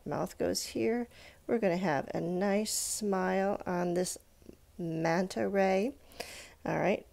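A woman's voice: on the low side, vocal fry, a little mellow. A woman giving an informal step-by-step drawing tutorial, thinking aloud and encouraging as she demonstrates. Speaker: drawing teacher.

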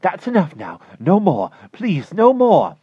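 A man voicing an excitable, peculiar man whose voice goes high and shrill with urgent excitement, on a dull lo-fi recording. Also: measured pace, breathy edge, no room sound, exaggerated voice. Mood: tense urging. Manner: urgent, pleading, breathless.

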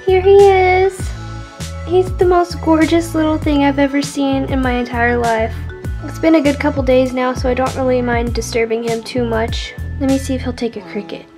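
A woman's voice: sits high, steady tone, normal speed, music in the background. A young woman from Texas narrating her vlog about her pet, gushing and affectionate. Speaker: vlogger. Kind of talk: casual vlog voice-over.

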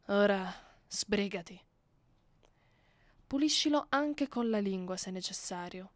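A woman playing a haughty, seductive vampire mistress in an ASMR roleplay, speaking softly and condescendingly, close to the microphone, with an Italian accent. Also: mid-range voice, animated, no echo. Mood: imperious. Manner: slow, condescending, teasing, often dropping into whispers. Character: haughty, seductive female vampire mistress, commanding her captive. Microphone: close studio microphone.

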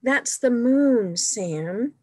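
A woman's voice: on the high side, expressive, very slow, a little mellow, dry recording, pitched above the performer's own voice, exaggerated voice. A woman voicing a sensible, sleepy older sister who speaks patiently and a little wearily.

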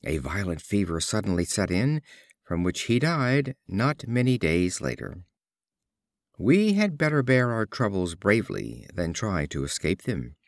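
A man reading fables as scripted storybook narration, in a measured, expressive storyteller's delivery. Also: on the low side, dry recording, clean studio sound. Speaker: audiobook reader.

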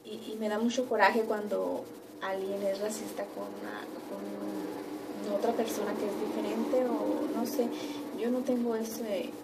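A young woman from Mexico with a Mexican accent, chatting casually and a little haltingly to camera in her living room. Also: mid-range voice, level tone. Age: young adult. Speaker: vlogger. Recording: distant mic, noisy room ambience.

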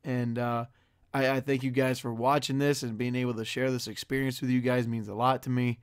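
A hoarse young man talking straight to his viewers in a casual, excited vlog intro, loose and full of fillers. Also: medium pitch, brisk. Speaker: wrestling fan vlogger. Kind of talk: casual direct-to-camera intro.

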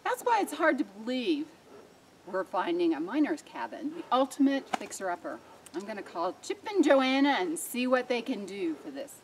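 A woman with a lively, animated voice hosts a friendly, playful hiking vlog. She speaks from behind the camera, a little off the mic. A faint outdoor hush sits behind her. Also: a little high, normal speed, recorded from a distance, slight background noise, low-quality recording. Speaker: vlogger interviewing hikers. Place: mountain trail outdoors.

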